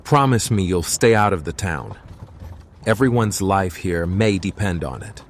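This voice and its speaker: A man's voice: low voice, animated, a little slow, slight background noise. A man playing a grave, protective man, weighed down by what he knows, in an audio drama. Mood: urgent, grave.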